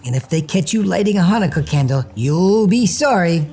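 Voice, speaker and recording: A man voicing a small, boastful, easily frightened goblin with a squeaky voice in a storybook reading. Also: animated, normal speed, mellow, soft background music. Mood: scared, warning. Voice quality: squeaky, high falsetto. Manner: defiant, whining.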